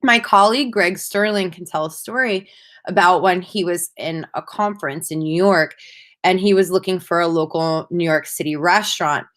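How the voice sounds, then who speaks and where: A woman delivering a prepared marketing presentation as a conference speaker, in a measured, scripted-sounding way with emphatic phrasing. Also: on the low side, a bit bright.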